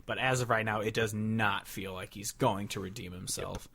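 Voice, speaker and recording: A man's voice: lowish voice, steady tone, normal speed, creaky, sharp tone. A man hosting a podcast in casual, opinionated chat, swearing freely. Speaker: podcast host. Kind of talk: casual chat about country music.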